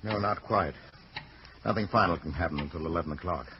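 An actor playing a haunted mental wizard who foresees doom, speaking portentously in an old-time radio drama. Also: male voice, low voice, background noise, lightly acted. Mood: urgent, resigned. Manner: slow, halting, portentous delivery. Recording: dull vintage radio recording, loud music.

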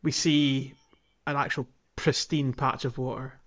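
A man's voice: medium pitch, flat delivery, a little slow, a bit bright, highs cut off. A man co-hosting a podcast, chatting casually about a video game. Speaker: podcast co-host.

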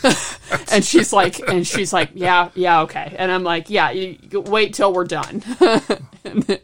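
A woman's voice: fairly low pitch, measured pace, a bit bright. A woman co-hosting a casual podcast chat, telling stories and acting out what people said. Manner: chatty storyteller who acts out quoted remarks. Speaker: podcast co-host.

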